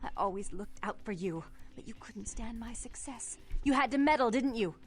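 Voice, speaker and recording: Haughty, prim vampire woman, high voice with sweeping intonation, clipped and venomous, dramatic game acting. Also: lively intonation, normal speed, breathy edge. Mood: bitter reproach. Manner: clipped, haughty, formal, indignant. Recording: game dialogue over background music. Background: music.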